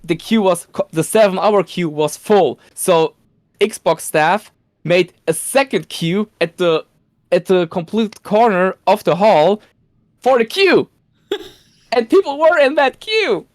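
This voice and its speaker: A man whose first language is Polish, telling excited stories as a guest in a casual podcast chat. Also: high-pitched, slow, no room sound, clean studio sound. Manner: excited storytelling with laughs and restarts.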